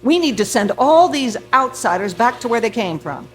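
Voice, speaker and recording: An actress playing a domineering, bigoted, nagging wife in a radio drama, sarcastic, commanding and full of contempt. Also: female voice, a little high, very animated, moderate pace, soft background music. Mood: indignant.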